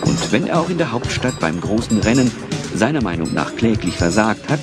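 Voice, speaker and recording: Low-voiced male storyteller over music. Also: big pitch swings, fairly quick. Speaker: narrator. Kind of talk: dramatic scripted storytelling for an audio play.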